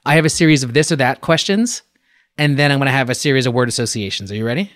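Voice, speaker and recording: A man hosting a design podcast, reading a scripted introduction and then asking a guest questions in a lively conversational way. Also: mid-range voice, fast talker. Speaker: podcast host. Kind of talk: podcast interview.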